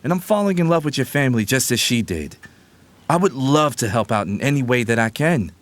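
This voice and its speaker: An actor playing a loyal, protective family lawyer, earnest and emphatic, in an audio drama. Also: male voice, medium pitch, expressive, a little fast, lightly acted. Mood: reassuring.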